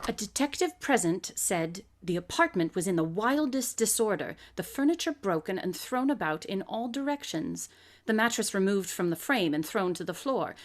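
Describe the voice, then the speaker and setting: A woman acting as a brilliant lady sleuth in a radio play. She is self-assured and methodical, with precise phrasing and a lively, wide-ranging pitch. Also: moderate pace, natural voice. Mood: intent reading. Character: brilliant, self-assured female amateur detective. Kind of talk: live radio drama acting.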